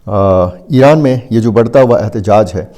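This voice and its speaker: A Pakistani man with a fairly low, very mellow voice delivers off-the-cuff news commentary. He speaks in a deliberate, halting way, often stretching out filler sounds as he explains events to his viewers. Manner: halting, deliberate, with frequent drawn-out hesitation sounds.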